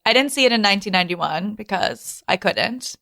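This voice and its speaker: A woman's voice: medium pitch, animated, fairly quick, creaky, bright, forceful tone, no room sound, studio quality. A woman of about thirty co-hosting a podcast with casual, teasing comedic banter. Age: around 30. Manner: giggly, teasing. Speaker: podcast co-host.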